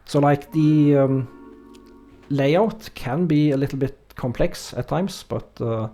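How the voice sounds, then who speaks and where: Relaxed Norwegian man with a gravelly voice. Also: a little high, slow pace, soft background music. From Norway. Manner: relaxed, hesitant, many fillers, reads chat aloud. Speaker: streamer. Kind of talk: casual let's-play chat with chat readers.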